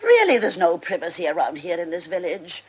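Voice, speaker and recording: An actress playing an imperious, vain old retired stage star in a radio drama, with a theatrical, bossy, affectionately rude manner. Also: female voice, on the low side, huge pitch swings, fairly quick, rough recording. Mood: imperious, irritated. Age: older.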